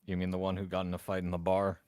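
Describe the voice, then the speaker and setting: A man's voice: low voice, completely flat delivery, rapid-fire, a little mellow, clear, resonant tone, no room sound, clean studio sound. A man chatting casually and roleplaying as a player in a tabletop game.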